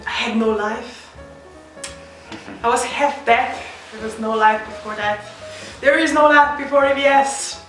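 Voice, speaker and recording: A young woman from Slovenia answers in a dry, joking way, her slightly high voice sounding distant and very roomy. Soft music lies beneath. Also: unhurried. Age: young adult. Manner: deadpan, self-mocking humor. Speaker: volunteer.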